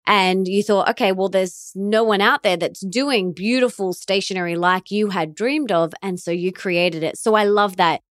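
A woman's voice: medium pitch, no echo, studio quality. A woman hosting a podcast with a gushing, enthusiastic manner. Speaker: podcast host.

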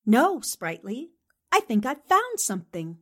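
Woman playing 1940s radio newspaperwoman, highish voice, very animated, brisk, determined, commanding. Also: full character voice. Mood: excited. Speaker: actor in an amateur radio drama re-creation.

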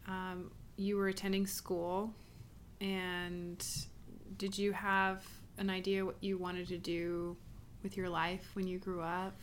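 A woman's voice: fairly low pitch, level tone, takes it slowly, a little hiss or hum. A woman hosting a podcast, asking questions in a casual, encouraging way with some fillers. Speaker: podcast host. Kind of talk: casual interview talk.

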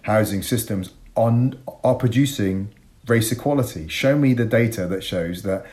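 A man's voice: lowish voice, normal speed, darkish tone. A man in his forties from Bristol with an English accent, speaking as an interview guest in an articulate, emphatic way, making reflective arguments. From Bristol, England. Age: 40s.

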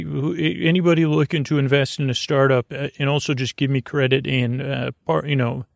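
A man with a noticeably creaky, mid-low voice hosts a bedtime podcast, recorded very dry. He meanders through an improvised monologue, restarting and drifting into tangents. His phrasing is whimsical and gently silly. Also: dry recording. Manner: meandering, halting, repeats and trails off into tangents. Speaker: host of a bedtime sleep podcast.

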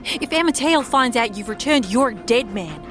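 A woman voices a wary elven traveller in a fantasy audio drama. Her voice is fairly high, urgent and nervous, with prominent music behind it. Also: animated, normal speed, close to an everyday voice. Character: wary, hurried lithe female elven traveller.

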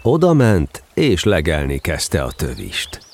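A man narrating a children's story as an expressive, scripted audio drama narrator. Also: a bass voice, a little hiss or hum.

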